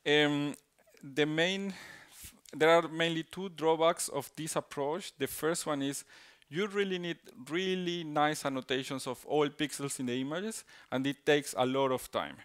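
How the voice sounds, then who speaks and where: Man from Colombia, conference speaker, resonant clear voice, halting delivery, many restarts. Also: a little high, fairly even intonation, fairly bright tone. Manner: halting, many restarts and fillers, tag "right?". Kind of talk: technical conference talk.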